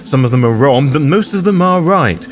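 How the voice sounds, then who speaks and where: A man's voice: a little high, animated, moderate pace, faint music behind. A man hosting a game guide, reading scripted narration in an instructive way that speaks straight to the listener. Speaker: host of a roleplaying game guide.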